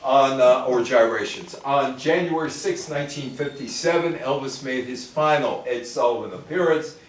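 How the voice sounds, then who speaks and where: A man's voice: medium pitch, moderate pace, a little hiss or hum. An older American man giving an informal public talk on history, addressing listeners directly with short asides. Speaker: lecturer. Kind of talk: public talk on music history, reading quotes and commenting. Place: echoey room with an audience. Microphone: distant microphone. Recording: very echoey room, distant microphone.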